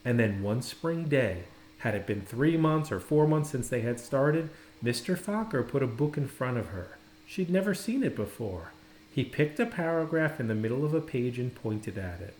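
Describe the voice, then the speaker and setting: A man narrating a children's storybook expressively, with dramatic, deliberate phrasing and pauses. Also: mid-range voice, big pitch swings, a little mellow, a little hiss or hum. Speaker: storybook reader. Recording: roomy, echoing indoor sound.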